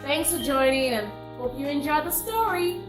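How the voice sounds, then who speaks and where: A woman telling folktales to viewers in a deliberate way, repeating phrases for emphasis. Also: high-pitched, expressive, reverberant, music in the background. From Nigeria. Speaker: storyteller and singer hosting a folktale channel. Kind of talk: oral folktale storytelling to viewers.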